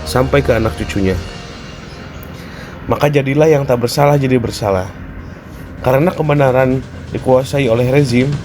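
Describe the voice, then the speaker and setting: A young man narrates a scripted spoken-word essay. His pitch sits in the middle of the male range, and his delivery is rhetorical and declamatory. Music plays clearly behind him. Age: young adult. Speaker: university student.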